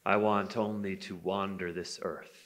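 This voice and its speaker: A man performs a weathered wild man of the mountain in a live folk tale. His voice is low and very measured, grave and poetic in its slow delivery. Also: steady tone, fairly bright tone. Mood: grave, resigned.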